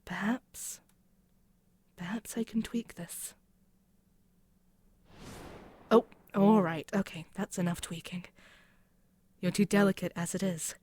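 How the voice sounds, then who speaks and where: A woman voicing a proud, mocking demon queen in an intimate ASMR roleplay, speaking in a haughty, deliberate, musing way. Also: mid-range voice, darkish tone, breathy edge. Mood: wistful, brooding. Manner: haughty, deliberate, musing, with pauses and murmured asides. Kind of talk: scripted ASMR audio roleplay, spoken intimately to the listener.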